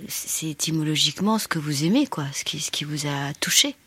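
A woman interviewer with a slightly low voice, recorded very dry. She hosts a conversational broadcast, putting questions to her guests. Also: brisk, bright, forceful tone, slightly rough, no echo. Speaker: interviewer and host.

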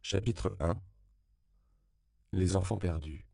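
Male audiobook narrator, very low and gravelly, dark mellow tone, dry close recording. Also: no room sound. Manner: calm, measured reading.

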